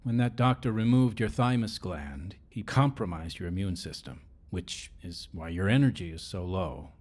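Frank, low-voiced doctor. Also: male voice, moderate pace, a little mellow, husky. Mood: grave, frank. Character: frank, clinical male doctor delivering diagnoses. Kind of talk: radio drama acting.

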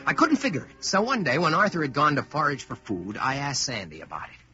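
An actor playing a wisecracking modern Yankee with an American accent, in old-time radio style. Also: male voice, medium pitch, wildly swooping pitch, brisk, bright, forceful tone, heavily acted. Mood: wry. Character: wisecracking, brash modern Yankee man stranded among knights. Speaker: radio actor, lead and narrator. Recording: dull old radio recording, music behind.

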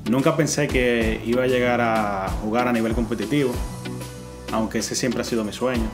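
A young man speaks quickly and earnestly in a mid-pitched voice about playing competitively. Clear background music sits beneath his words. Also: steady tone. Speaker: esports player. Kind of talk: documentary interview.

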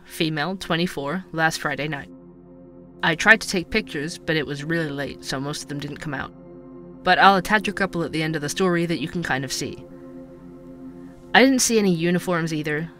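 Young conspiracy podcaster, earnest, bright voice, slightly high, storytelling, audio drama, music underneath. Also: male voice, fairly quick. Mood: recounting, intrigued. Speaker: podcast host. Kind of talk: scripted audio drama narration.

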